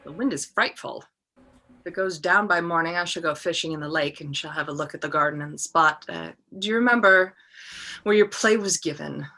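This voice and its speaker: A woman playing a world-weary, self-absorbed male novelist in a measured, musing way, reading a classic play over a video call. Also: low voice, pushed and bright, heavily acted. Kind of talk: cold read of a classic stage play.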